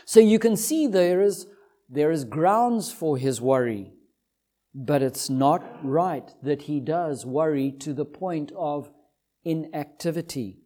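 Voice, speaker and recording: A man's voice: fairly high pitch, takes it slowly, dark tone. A man with a Zimbabwean accent preaching an expository sermon in a didactic way, driving points home with emphatic repetition.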